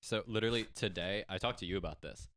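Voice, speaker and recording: A young man telling a rambling, halting story in a casual podcast. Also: low-pitched, fairly bright tone. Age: young adult. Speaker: podcast co-host.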